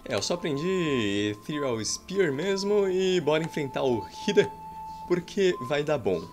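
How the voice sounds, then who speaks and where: A man's voice: fairly high pitch, sing-song, measured pace, a little mellow. A Brazilian man in his thirties giving jokey commentary over his own gameplay from a small room at home, exclaiming at what happens on screen. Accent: Brazilian Portuguese. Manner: jokey, exclaims and sighs at game events. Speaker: gameplay streamer. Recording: faint game music behind.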